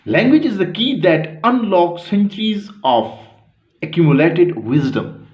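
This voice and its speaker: A man from Pakistan, giving an academic lecture in a slow, deliberate way, pausing often and correcting himself. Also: high-pitched, big pitch swings, a little mellow. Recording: echoey room, dull lo-fi sound.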